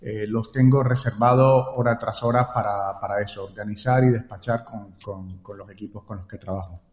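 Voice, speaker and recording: A Cuban-accented man with a lowish voice answers interview questions and keeps repeating words as he thinks. The recording is dull and lo-fi, with a roomy echo and a long reverb tail. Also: steady tone, normal speed. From Cuba. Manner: repeats words while thinking, frequent "eh" fillers. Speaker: guest.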